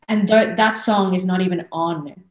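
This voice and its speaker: A woman's voice: lowish voice, moderate pace, echoey room, slight background noise. A woman in her thirties with an Australian accent, chatting casually and animatedly as a guest on a video call. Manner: animated, frequent laughs and restarts. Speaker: guest singer-songwriter. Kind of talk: casual interview chat. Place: small room indoors. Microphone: headset on video call.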